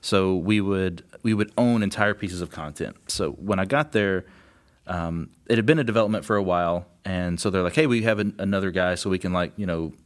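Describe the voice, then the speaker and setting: Male game designer guest, fairly low pitch, casual anecdotal chat, self-deprecating with chuckles. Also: a little fast.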